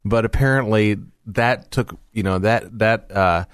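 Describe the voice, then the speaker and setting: A man's voice: on the low side, measured pace, smooth, no room sound. A man hosting a radio talk show from a studio, earnest and rambling with restarts.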